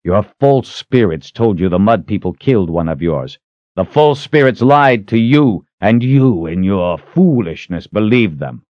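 A man acting a menacing, deep-voiced fantasy hero. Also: animated, no room sound, muffled recording. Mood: scornful challenge. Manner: taunting, deliberate, intense. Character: cold, menacing male fantasy hero, defiant and contemptuous. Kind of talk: dramatic audiobook character acting.

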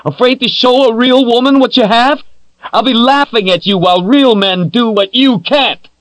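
A man voicing a defiant young woman captive who screams and mocks in a high, strained voice. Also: moderate pace, fairly bright tone, dry recording, poor audio. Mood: taunting. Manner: shrill, screaming and mocking.

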